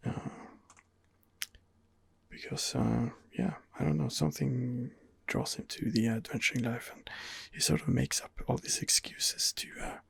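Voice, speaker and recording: Whispering, gravelly man doing ASMR gaming. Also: medium pitch, a little slow. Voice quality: breathy, husky whisper. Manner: hesitant, musing, rambling. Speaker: video game streamer, channel host. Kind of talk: ASMR whispered gameplay commentary.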